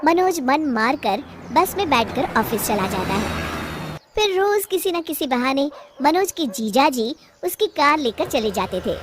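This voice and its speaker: A woman's voice: high-pitched, a little hiss or hum. A woman narrating a scripted cartoon family story.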